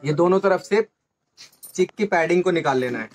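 A man's voice: high voice, expressive, normal speed. A man giving a hands-on tutorial, explaining step by step in a small indoor room. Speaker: presenter of a motorcycle gear channel. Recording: faint background noise with clicks.

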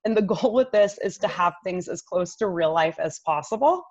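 Low-pitched woman coaching over a roomy video call. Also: animated, moderate pace, darkish tone. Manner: conversational, explanatory, addresses listener directly. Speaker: image coach giving expert tips. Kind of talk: informal instructional advice on a video call. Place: living room at home, indoors. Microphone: laptop microphone on a video call. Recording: slightly dull, roomy webcam audio.

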